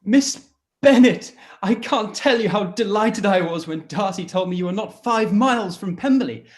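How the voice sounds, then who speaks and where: A man playing an amiable, smitten young gentleman in a period radio play, effusive and a little hesitant. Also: sits high, expressive, moderate pace. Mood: eager, flustered. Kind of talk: live-read period radio play acting.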